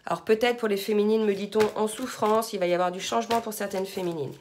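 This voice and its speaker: A hoarse woman giving a spiritual card reading to her viewers, soft-spoken, sometimes murmuring requests to her guides. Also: medium pitch, fairly even intonation, quick pace, a little hiss or hum. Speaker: tarot card reader.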